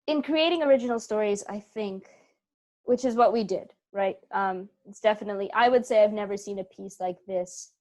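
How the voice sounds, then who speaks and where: A woman actor being interviewed, speaking conversationally and thoughtfully, repeating phrases and checking in with "right?". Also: on the high side. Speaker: interviewed actor from a theater company. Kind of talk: earnest conversational interview answers.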